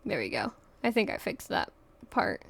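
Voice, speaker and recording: A woman's voice: mid-range voice, wildly swooping pitch, normal speed, gravelly, fairly bright tone. A woman live streamer chatting casually over her gameplay, thinking aloud in slangy, offhand remarks.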